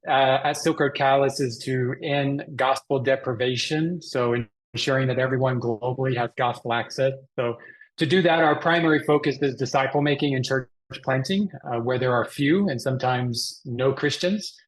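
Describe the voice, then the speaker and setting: A middle-aged man from Texas speaks as a guest in an interview. His voice sits at a medium pitch as he explains things in a conversational way. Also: moderate pace, poor audio. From Texas, Dallas area. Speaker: guest, mission organization president.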